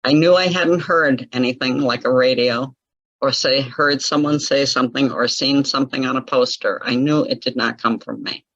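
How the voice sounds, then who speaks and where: A woman with a husky, slightly breathy voice tells her story in a relaxed interview, laughing often as she goes. The sound is dry and close, with a dull, lo-fi podcast quality. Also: extremely low pitch, moderate pace, no echo. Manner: chatty, laughs often, lively retelling of her own past. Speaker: interview guest, author.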